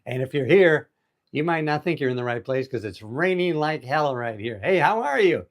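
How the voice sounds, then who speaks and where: A man's voice: a little high, expressive, moderate pace. A man in his sixties hosting a casual motivational livestream outdoors on an island, upbeat and chatty as he greets viewers. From United States. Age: 60s. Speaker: livestream host. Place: outdoors on an island in heavy rain. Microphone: phone held in vertical mode.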